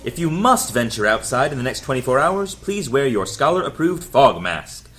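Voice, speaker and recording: A man playing a dystopian city's loudspeaker announcer with measured official delivery, in a drama reading. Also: mid-range voice, big pitch swings, faint music behind. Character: citywide loudspeaker announcer of an orderly dystopian regime. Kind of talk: dramatic script table read.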